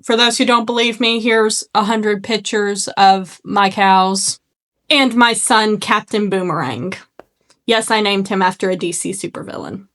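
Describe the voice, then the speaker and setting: A young woman from Arkansas with a Southern accent and a slight lisp, chatting casually in a makeup vlog, self-deprecating and quick to laugh at her own slips, filmed on a phone at home. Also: medium pitch, fairly bright tone. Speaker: makeup vlogger. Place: at home, seated. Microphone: phone camera.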